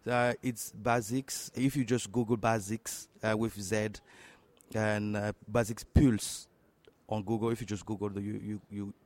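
A fairly low-pitched male voice with a French accent. He is a native French speaker answering as an interview guest. His delivery is halting, and he often repeats a word while he looks for the phrasing. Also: level tone, darkish tone, no room sound. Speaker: interview guest, tech entrepreneur.

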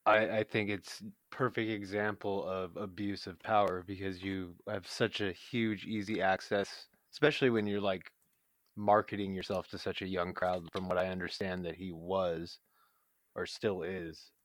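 A man's voice: low-pitched, little pitch movement, normal speed. A man co-hosting a casual podcast, talking loosely and hesitantly with plenty of fillers. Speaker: podcast co-host.

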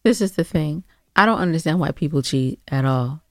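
Female podcast co-host, slightly low pitch, dry close sound, opinionated and challenging in relaxed conversation. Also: moderate pace, dry recording. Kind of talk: casual conversational advice discussion.

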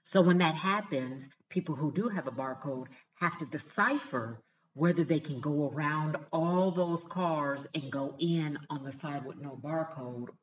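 A woman's voice: low voice, a little slow, lo-fi sound, a little hiss or hum. An older woman telling a personal story in a casual, chatty self-help vlog, often laughing as she talks. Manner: chatty, laughs easily, animated retelling.

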